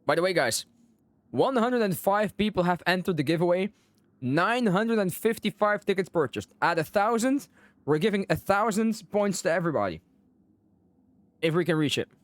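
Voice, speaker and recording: A man's voice: high-pitched, lively intonation, normal speed, dry recording. A man live streaming a video game, chatting casually with viewers and commenting on the story. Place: close dry mic, game music behind.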